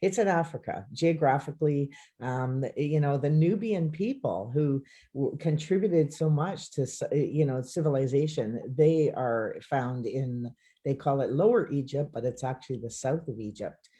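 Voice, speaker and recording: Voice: mid-range voice, normal speed, warm tone. A co-host in a casual live-streamed chat who explains things with enthusiasm and laughs easily.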